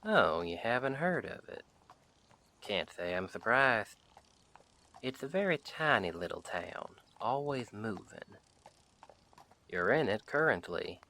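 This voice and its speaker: A man playing a friendly wandering Western sheriff in an audio roleplay, chatting to the listener in a folksy, wry, unhurried way. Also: lowish voice, sing-song, sharp tone, heavily acted. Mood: wry, chatty. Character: friendly wandering Western sheriff, easygoing drifter, dryly humorous.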